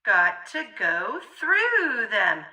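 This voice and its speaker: A woman's voice: mid-range voice, huge pitch swings, extremely slow, bright, forceful tone, distant mic. A woman reading a children's story aloud theatrically, in a sing-song, rhythmic way with exaggerated expression.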